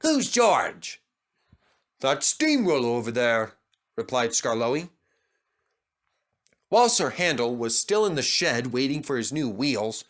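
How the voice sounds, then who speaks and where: A man narrates a children's storybook from a script. His pitch sits in the middle and moves about in a very animated way.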